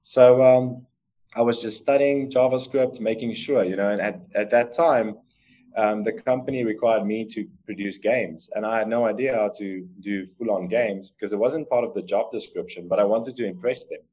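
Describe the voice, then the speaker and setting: A man's voice: lowish voice, fairly even intonation, moderate pace, warm tone. Afrikaans-accented man on a muffled call. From South Africa. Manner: rambling, earnest, with many fillers and restarts. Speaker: guest artist and coder. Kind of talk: casual interview answers. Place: home room on a video call. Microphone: laptop or webcam mic on video call.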